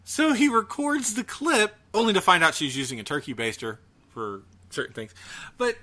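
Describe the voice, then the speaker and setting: A man chatting casually as a podcast host, ranting in an animated, profane way. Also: sits high, normal speed. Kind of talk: casual chat about gaming news.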